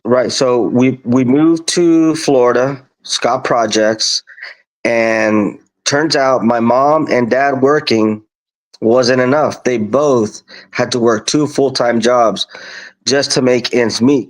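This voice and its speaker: A man in his fifties from Kansas, born in Colombia, telling his story casually and deliberately as a podcast guest. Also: mid-range voice, low-quality recording. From born in Colombia, raised in Kansas, USA. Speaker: podcast guest, lawn business owner.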